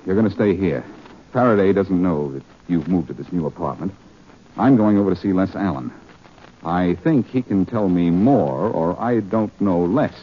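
An actor playing a brash, wisecracking American sleuth in a 1940s radio drama, wry and insistent in manner. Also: male voice, sits low, lively intonation, moderate pace. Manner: wry, insistent, clipped questioning. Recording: dull, noisy old radio recording.

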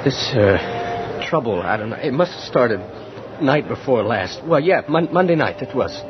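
An actor playing an uneasy, haunted night bus driver in a radio horror drama, speaking in a halting, agitated way. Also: male voice, mid-range voice, animated, background noise, natural voice. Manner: halting, agitated, searching for words. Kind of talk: old-time radio horror drama acting. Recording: dull old radio recording with music underneath.

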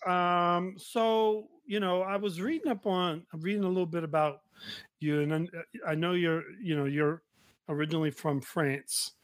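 Male podcast host, higher register, casual and halting interviewer, close dry sound. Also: steady tone, no room sound. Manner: halting, repeats and rephrases questions.

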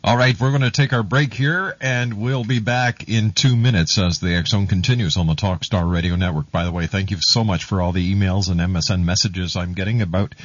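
A man's voice: low-pitched, a little fast, few pauses, dry recording, lo-fi sound. A man presenting a radio show and reading announcements on a studio microphone. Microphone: studio broadcast mic.

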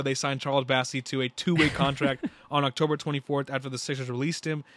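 A young man co-hosting a sports podcast, excitable and slangy, full of dude and bro. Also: fairly high pitch, no room sound. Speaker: podcast co-host. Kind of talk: casual sports podcast chat.

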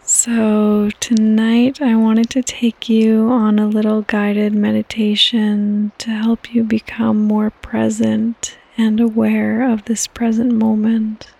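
A woman hosting a guided sleep meditation in a soft, breathy, partly whispered voice, with calm, gentle instructions and long pauses. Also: fairly high pitch, poor audio. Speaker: podcast host and meditation guide.

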